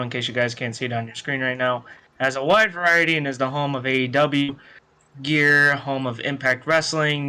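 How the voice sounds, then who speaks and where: A man's voice: mid-range voice, bright, forceful tone, clean tone. A man co-hosting a podcast in casual, bantering style. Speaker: podcast co-host.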